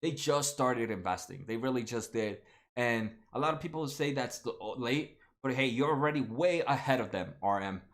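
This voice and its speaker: A man's voice: mid-range voice, animated, normal speed. A man in his late twenties hosting a casual stock market livestream, talking to viewers by name with lots of fillers and restarts. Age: 20s. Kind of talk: casual livestream chat reading viewer comments.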